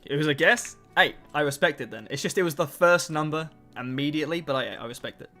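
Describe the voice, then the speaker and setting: A man's voice: on the high side, quick pace, bright, forceful tone, faint music behind. A man in his twenties chatting casually on a livestream, answering chat and joking at his own expense. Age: 20s. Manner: chatty, self-mocking, answers chat between tasks. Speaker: streamer.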